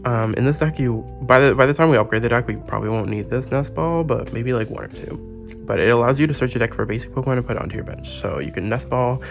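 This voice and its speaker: Sick, gravelly-voiced man with a sore throat. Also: mid-range voice, fast. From Canada. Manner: chatty, gushing over cute packaging. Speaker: trading card game YouTuber. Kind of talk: casual unboxing and deck review. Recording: music playing underneath.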